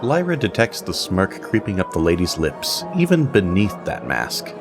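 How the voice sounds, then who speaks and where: A man narrating a scripted fantasy story as its storyteller, in a measured, dramatic manner. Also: sits low, wildly swooping pitch, music in the background.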